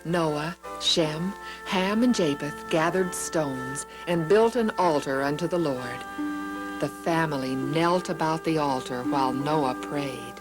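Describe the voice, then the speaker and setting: Female storybook narrator, slightly low voice, measured and expressive delivery for young listeners, music underneath. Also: low-quality recording. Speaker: children's Bible story narrator.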